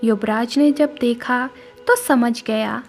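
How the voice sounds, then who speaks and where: A woman's voice: fairly high pitch, slow. A woman narrating a scripted cartoon story as its storyteller. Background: music.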